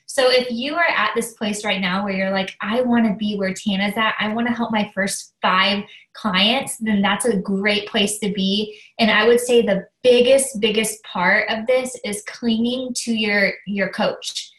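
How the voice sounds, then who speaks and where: A woman's voice: medium pitch, fairly even intonation, measured pace, few pauses, a bit bright. Woman coach with a head cold, a bit nasal, eager, roomy echo. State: has a cold, slightly nasal. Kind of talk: casual training talk.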